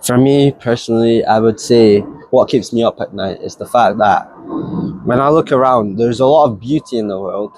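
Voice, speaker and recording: A man's voice: medium pitch, normal speed, a little mellow, no echo, poor audio. Earnest young man. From Nigeria. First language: Yoruba. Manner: eager, earnest, laughs easily, repeats phrases. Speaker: new church digital creative, interviewee. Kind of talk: casual interview answers.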